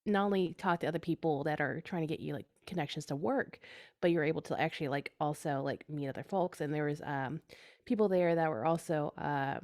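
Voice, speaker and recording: A woman's voice: low voice, fairly quick, darkish tone. A woman chatting casually in a panel discussion, recounting an event with lots of filler words. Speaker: panel guest.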